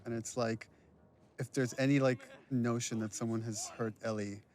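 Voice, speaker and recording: A man giving casual behind-the-scenes commentary as a game director, telling it like an animated storyteller. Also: mid-range voice, moderate pace.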